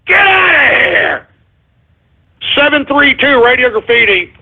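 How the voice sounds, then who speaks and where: A man hosting a call-in radio show, brash and excitable, hurling insults at callers and often yelling. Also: very high-pitched, unhurried. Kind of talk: loud ranting call-in banter. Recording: tinny lo-fi stream audio.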